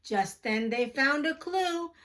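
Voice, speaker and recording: A woman reads a children's story aloud at an unhurried pace. Her voice is high and swings expressively, with a sing-song, emphatic delivery that suits storytime. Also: expressive, fairly bright tone. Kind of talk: slow expressive read-aloud of a picture book for children.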